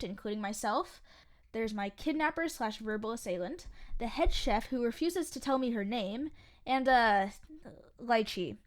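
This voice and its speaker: A young woman playing a sarcastic, grumbling eighteen-year-old waitress in a fiction podcast, snippy and sardonic. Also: high-pitched. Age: young adult. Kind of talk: audio fiction podcast acting, conversational.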